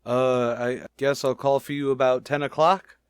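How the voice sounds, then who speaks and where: An American actor playing a shy, naive bachelor schoolteacher, hesitant and earnest, in a vintage radio sitcom. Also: male voice, medium pitch, little pitch movement, normal speed, clear, resonant tone, no echo, studio quality, close to an everyday voice. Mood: flustered, earnest. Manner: hesitant, earnest, literal-minded.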